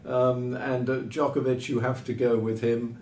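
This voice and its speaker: A man gives unscripted sports analysis in a mid-pitched voice. He lays out firm opinions in short, measured phrases. The sound is echoey, with a faint rumble behind him. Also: level tone, darkish tone. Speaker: tennis pundit. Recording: roomy, distant mic with background rumble.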